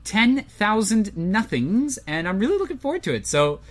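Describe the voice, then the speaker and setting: A young man co-hosting a podcast in casual chat, talking quickly with dry, deadpan sarcasm. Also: high-pitched. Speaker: podcast co-host.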